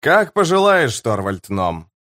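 A man's voice: mid-range voice, very animated, slow, a bit bright. Man acting a proud, stern barbarian warrior. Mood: blunt, solemn.

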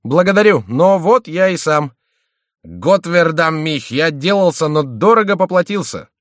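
A man's voice: a little high, animated, a little fast, dry recording, studio quality, voice pushed up, close to an everyday voice. A man voicing an outraged, battered young nobleman, a king's favourite, indignant and theatrical, in an audiobook. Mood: aggrieved. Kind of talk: audiobook character voice.

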